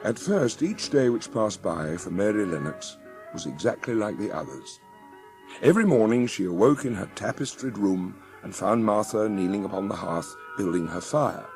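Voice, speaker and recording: A man narrates a storybook in a fairly low, husky voice with a creaky edge. His scripted reading has music behind it. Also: animated, moderate pace.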